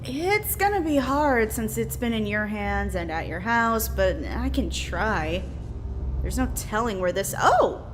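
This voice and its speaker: A woman's voice: a little high, animated, measured pace, a little hiss or hum. A woman playing a wry, teasing police forensic analyst in an audio drama, playful and casual.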